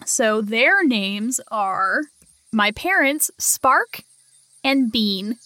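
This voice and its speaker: A woman's voice: on the high side, expressive, extremely slow, bright, forceful tone, no room sound. A woman talking casually as a player in an improvised tabletop game.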